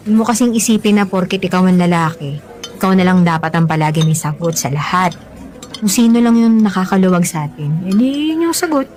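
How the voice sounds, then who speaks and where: An actress with a Filipino accent playing a caring, generous girlfriend in a radio drama, speaking in a gentle, reassuring way. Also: on the low side, animated, a little fast, faint music behind, close to an everyday voice. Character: caring, generous girlfriend soothing her troubled lover.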